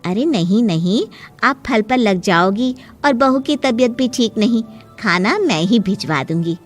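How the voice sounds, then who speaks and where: A woman's voice: fairly high pitch, lively intonation, unhurried, faint music behind. A woman playing a friendly, generous neighbour in a cartoon story, chatty, familiar and insistent.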